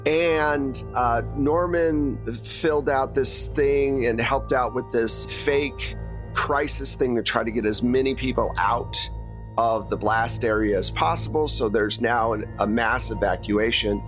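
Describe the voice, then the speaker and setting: A man's voice: medium pitch, unhurried, soft background music. A man running a tabletop game as game master, recapping and narrating in an informal, explanatory way.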